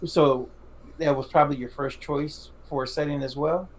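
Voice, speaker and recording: A man's voice: a little high, fairly even intonation, moderate pace, low-quality recording. A man co-hosting a podcast interview in casual chat, rambling and complimentary as he asks questions.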